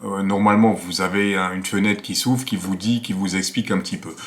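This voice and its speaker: A man's voice: low voice, fairly even intonation, a little fast. A man, presenting a casual tutorial in a conversational, explanatory way with frequent tag words. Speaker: amateur radio video host. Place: small attic home office, indoors.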